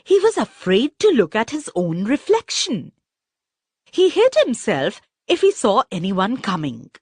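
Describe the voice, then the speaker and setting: Slow, very animated woman storyteller. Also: fairly high pitch, slightly rough, no echo, clean studio sound. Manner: expressive, deliberate storybook delivery. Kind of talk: scripted narration of a children's story.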